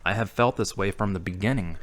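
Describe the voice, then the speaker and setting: A man's voice: deep voice, a little fast, a bit bright. A man acting a brooding, earnest, troubled character in an audio drama. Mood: troubled, confiding.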